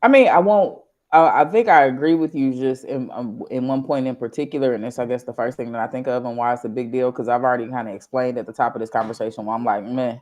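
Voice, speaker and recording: A man co-hosting a casual political chat show, talking in animated, slangy bursts that rise to loud exclamations. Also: medium pitch, fast talker, hardly any pauses, lo-fi sound. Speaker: podcast co-host.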